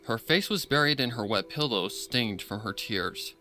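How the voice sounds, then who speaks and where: A man's voice: mid-range voice, lively intonation, moderate pace, sharp tone, faint music behind. A man reading a horror story in a dramatic, scripted narration style.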